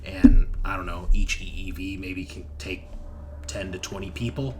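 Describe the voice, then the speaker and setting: A man running a tabletop game, narrating casually and informally, often halting as he thinks aloud. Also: low voice, steady tone, over loud music. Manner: halting, thinking aloud as map details are checked. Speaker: game master.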